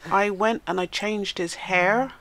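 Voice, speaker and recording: A woman's voice: medium pitch, steady tone, measured pace, a bit bright. A woman hosting a casual let's-play, chatting to the game characters as she plays, sounding nasal and stuffed up from a cold. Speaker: gaming channel host.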